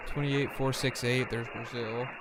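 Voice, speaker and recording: Man on a livestream over loud radio noise. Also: medium pitch, level tone, unhurried. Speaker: amateur radio operator and livestream host. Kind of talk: casual livestream chat with radio callsign calls. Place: home ham radio shack. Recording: loud radio static and hiss. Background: shortwave radio noise.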